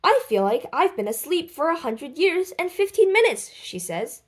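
A woman reading a children's book aloud expressively, with deliberate, dramatic phrasing and lifted voices for dialogue. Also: extremely high pitch, sing-song. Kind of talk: expressive storybook read-aloud.

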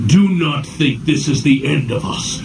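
An actor playing a haughty, fanatical armored cult enforcer in a video game, speaking with cold, pompous formality. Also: male voice, on the high side, animated, a little slow, creaky, reverberant, recorded from a distance. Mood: cold, menacing. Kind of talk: video game cutscene acting.

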